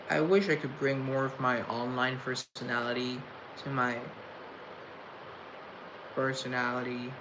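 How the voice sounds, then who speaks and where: A young man hosting a casual livestream, chatting reflectively and reading chat aloud, with a halting, stuttering delivery full of restarts. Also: mid-range voice. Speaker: livestream host. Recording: noisy room tone.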